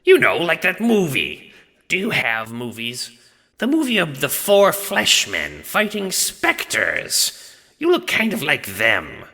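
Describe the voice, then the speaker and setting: Gruff bored monster death for audio drama, growly n blunt, pitch jumps high, big reverb. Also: male voice, huge pitch swings, takes it slowly, pushed and bright, exaggerated voice. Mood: distasteful, erratic. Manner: blunt, dismissive, swearing. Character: huge gruff skeletal Death, bored, weary, crude, out of touch. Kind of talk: audio drama character acting.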